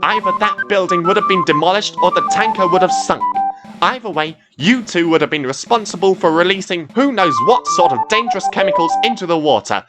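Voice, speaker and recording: A man's voice: sits high, lively intonation, a little fast, over loud music, pitched above the performer's own voice. A man doing a stern coast guard boat. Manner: stern, lecturing.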